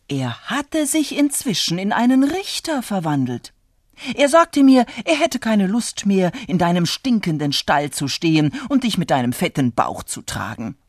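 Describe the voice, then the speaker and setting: Folk-tale wife, played by a storyteller: sly, glib, complaining, pitched up and very lively. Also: no echo, studio quality. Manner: animated, tall-tale telling. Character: farmer's sly wife, glibly lying and complaining.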